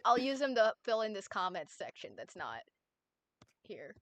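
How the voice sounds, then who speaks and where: A woman's voice: mid-range voice, big pitch swings, normal speed, no echo. A woman hosting a casual stream, giggling often and swearing playfully as she chats and reads a story summary aloud. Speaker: streamer host.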